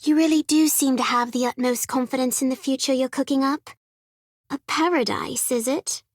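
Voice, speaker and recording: A woman's voice: high voice, fairly even intonation, moderate pace, no room sound, studio quality. An actress playing a sly, skeptical, curious woman in a game. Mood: amused skepticism. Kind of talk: scripted game character line.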